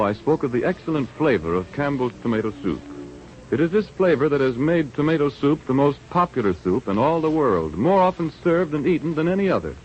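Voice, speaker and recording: Animated 1930s American radio announcer man. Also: mid-range voice, a little hiss or hum. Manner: polished, emphatic salesmanship. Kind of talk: 1930s radio announcing, scripted sponsor read. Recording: dull vintage radio broadcast, music behind.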